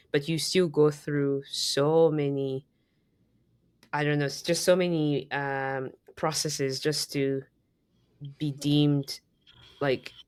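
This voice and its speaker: A woman from Zambia tells a long story in a low, deep voice, full of "like" and false starts. The sound is dry and close, with no room around it. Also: smooth, dry recording. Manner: chatty storytelling, many fillers and restarts. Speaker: podcast co-host. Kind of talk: casual podcast conversation.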